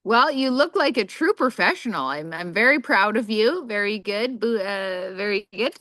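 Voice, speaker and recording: A woman's voice: mid-range voice, expressive, normal speed, bright, forceful tone, no echo. A woman interviewing a guest in a bubbly, chatty way, laughing often. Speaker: magazine interviewer. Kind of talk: casual interview chat.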